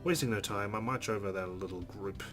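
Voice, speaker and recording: A man's voice: on the low side, fairly quick. A man reading visual novel narration aloud as a streamer, stumbling now and then and dropping in amused asides. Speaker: let's play streamer. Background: guitar music from the game.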